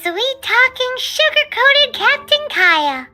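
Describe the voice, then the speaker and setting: A woman voicing a tiny, cheeky, childlike fairy companion with a squeaky voice in a video game. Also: sing-song, slow pace, bright, forceful tone, faint music behind, exaggerated voice. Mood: cheeky, curious.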